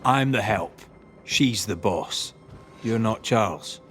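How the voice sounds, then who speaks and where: A plain-spoken ghost hunter's assistant in a period game. His voice is fairly low and unhurried, dry and understated, over faint music. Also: lively intonation, a bit bright.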